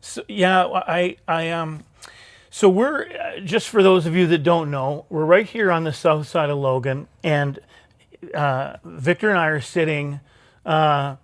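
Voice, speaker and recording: Chatty male host, halting delivery. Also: on the high side, no echo. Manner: halting, many fillers and restarts, chatty. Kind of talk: live talk show interview. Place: theatre stage, live show.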